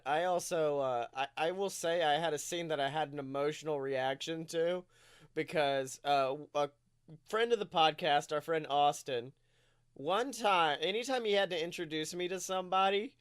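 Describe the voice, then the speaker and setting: A man co-hosting a podcast in casual, comedic chat, telling stories in an animated way and swearing freely. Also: on the high side, moderate pace, clean tone, no echo, studio quality. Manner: animated storytelling, acts out quotes, swears freely. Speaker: podcast co-host.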